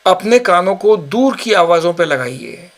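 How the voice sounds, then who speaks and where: A man guiding a yoga nidra relaxation session with slow, calm, measured instructions. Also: sits high. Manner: slow, calm, measured guidance with long pauses. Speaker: yoga teacher.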